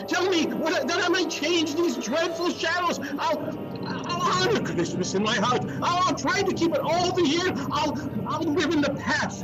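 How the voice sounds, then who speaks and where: Old reformed miser, radio drama, very high swooping voice, bright, stammering, theatrical. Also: male voice, exaggerated voice, low-quality recording. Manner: melodramatic, breathless stammering repetition.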